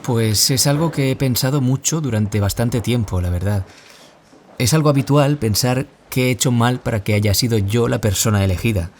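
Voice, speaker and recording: A man acting a gentle former bullying victim turned author in an audio drama, confiding in a measured, reflective way. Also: medium pitch, slight background noise, lightly acted. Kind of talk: audio drama acting as a radio interview guest.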